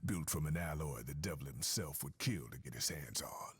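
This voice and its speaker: Game role: gruff American underworld barman, bottom-of-the-barrel bass, sardonic, unhurried, faint noise behind. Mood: proud. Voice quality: very deep voice. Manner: slangy, unhurried, knowing. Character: gruff streetwise bartender and weapon maker, male, cool and sardonic. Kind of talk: video game cutscene acting.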